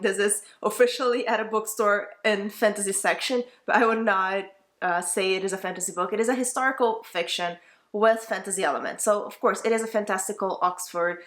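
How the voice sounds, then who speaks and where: A woman's voice: mid-range voice, fairly bright tone. A young woman with a Brazilian Portuguese accent, a native Portuguese speaker, giving a casual, enthusiastic book review that rambles and corrects itself as she goes.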